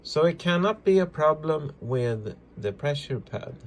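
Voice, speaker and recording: A man presenting a casual hands-on repair tutorial, talking through each step in halting, simple non-native English. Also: on the high side, animated, slight background noise. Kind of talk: casual hands-on demonstration, narrating as they work.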